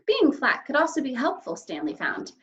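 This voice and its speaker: Animated, high-voiced woman teacher reading aloud. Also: moderate pace. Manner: expressive, explanatory, addressing children. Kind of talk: children's read-aloud with explanations. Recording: slightly dull, lo-fi sound.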